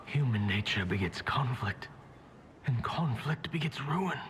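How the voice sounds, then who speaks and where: A man's voice: medium pitch, animated, unhurried, a bit bright, a little hiss or hum. A man playing an ominous, bitter voice in a vision in an anime video game, whispery and brooding.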